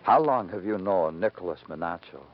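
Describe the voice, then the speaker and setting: A brooding New York homicide detective from 1950s radio noir. His voice is low and American, hardened and poetic. The recording is muffled and hissy. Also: lively intonation, a little fast. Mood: pressing. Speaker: lead actor and narrator of a radio crime drama. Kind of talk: 1950s radio crime drama acting, noir narration.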